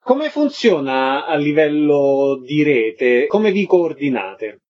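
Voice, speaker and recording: A man's voice: on the high side, sing-song, slow pace. A man putting interview questions as the host of a radio programme. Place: radio broadcast. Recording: slightly dull, lo-fi radio audio.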